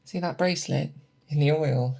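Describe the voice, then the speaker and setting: A performer playing a seasoned monster hunter who patiently instructs an apprentice in a scripted ASMR roleplay, with hesitant, measured check-ins. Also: mid-range voice, creaky, dark tone. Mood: patient, encouraging.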